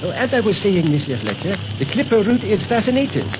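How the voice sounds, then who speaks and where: An actor playing a genial, assured secret agent who teases and explains, in a 1930s radio serial. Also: male voice, high-pitched, sing-song, quick pace. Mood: genial, explaining. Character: genial, steady secret agent uncle in charge. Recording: noisy muffled 1930s radio recording.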